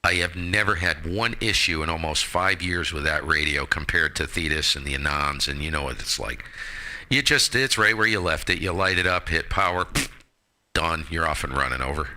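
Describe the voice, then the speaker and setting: A man's voice: a bass voice, lively intonation, moderate pace, pushed and bright. A man chatting casually as a ham radio operator, with an emphatic, slangy and colorful way of talking. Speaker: amateur radio operator and streamer.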